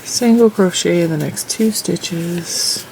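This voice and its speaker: A woman's voice: fairly low pitch, slow pace, gravelly, warm tone, a little hiss or hum, low-quality recording. A congested, stuffy-nosed woman hosting a casual crochet tutorial, chatting as she counts stitches and rows.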